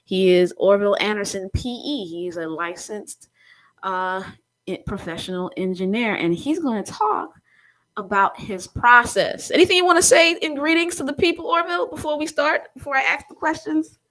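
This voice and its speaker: A woman's voice: fairly high pitch, moderate pace, fairly bright tone, poor audio. A woman hosting a live-streamed interview, speaking in a chatty, encouraging way. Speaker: host.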